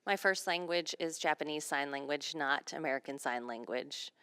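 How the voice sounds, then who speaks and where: A woman working as a sign language interpreter, voicing a signed seminar talk in measured, phrase-by-phrase delivery. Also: sits low, bright, forceful tone, clear, resonant tone, dry recording.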